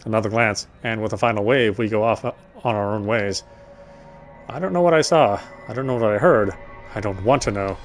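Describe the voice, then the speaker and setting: A man's voice: on the low side, brisk. Male narrator for horror visual novel, dramatic storytelling, occasional stumbles, music underneath. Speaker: gameplay streamer reading a visual novel aloud. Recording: music behind the voice. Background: game music.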